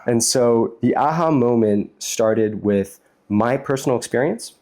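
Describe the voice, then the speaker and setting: A man's voice: lowish voice, darkish tone. A man from New York with an American accent, talking casually and explaining things as an interview guest. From United States, New York City. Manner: explanatory, conversational, frequent "like". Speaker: interview guest, startup chief executive.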